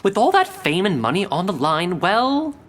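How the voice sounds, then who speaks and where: A woman's voice: mid-range voice, big pitch swings, moderate pace, lightly acted. A woman playing a chatty, pedantic scientist in an audio drama, speaking precisely and full of knowing hints. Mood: knowing, hinting. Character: chatty, know-it-all female scientist and inventor, pedantic and gossipy.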